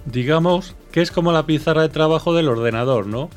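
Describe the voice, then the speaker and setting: Higher-voiced male co-presenter from Spain. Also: brisk, soft background music. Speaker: co-presenter asking questions. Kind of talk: scripted educational dialogue.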